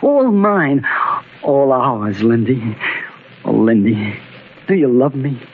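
A man's voice: mid-range voice, sing-song, takes it slowly, slightly rough, heavily acted. An actor playing a tough working-class city man, slangy and bitter but tender, in vintage radio drama style. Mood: wistful, earnest. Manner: slangy, clipped streetwise talk. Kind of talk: 1940s-50s radio drama acting. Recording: dull, noisy old radio recording.

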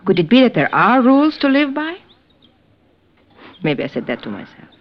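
A woman's voice: fairly high pitch, wildly swooping pitch, moderate pace. An actress playing a proud, self-willed yet tender fugitive woman in a theatrical 1950s radio western. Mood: reflective, dramatic. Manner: theatrical, refers to herself by name. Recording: dull vintage 1950s radio recording.

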